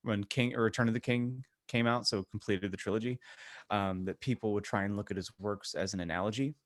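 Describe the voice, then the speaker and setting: Male podcast co-host, fairly low voice, casual and enthusiastic, recorded dry. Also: fairly even intonation, brisk, no echo. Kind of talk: casual chat.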